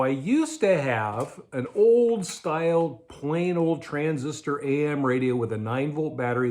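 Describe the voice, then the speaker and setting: A middle-aged man with an American accent explains things conversationally, like a host reviewing gear informally to camera. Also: medium pitch. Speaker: host of a budget prepping show. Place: indoors in a garage or storage room with shelves.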